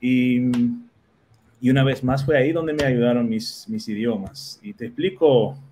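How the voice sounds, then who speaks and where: A man in his thirties, born in the Netherlands with Portuguese as his first language, speaks as a guest on a video call. He talks thoughtfully, pausing on fillers and repeating words while he thinks. His voice sits in a middle range, with a faint hiss of room noise behind it. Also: medium pitch, a little mellow. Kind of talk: casual video-call interview. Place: small home office.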